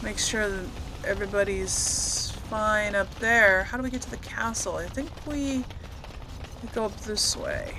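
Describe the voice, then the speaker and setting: Woman doing casual let's play commentary, slow, thinking out loud, noisy game ambience behind her. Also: mid-range voice. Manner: musing, thinking aloud. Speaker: gaming video host. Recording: clear noise behind voice.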